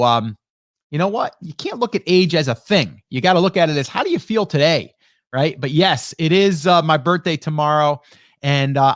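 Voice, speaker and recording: A man in his late forties speaks in a fairly high register on a close, very dry broadcast microphone. He hosts a live stream as a coach, chatting informally and addressing viewers directly with frequent check-ins. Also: fairly bright tone, no room sound. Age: late 40s. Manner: conversational, greets viewers by name, rhetorical check-ins. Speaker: host, online marketing coach. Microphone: close broadcast dynamic microphone.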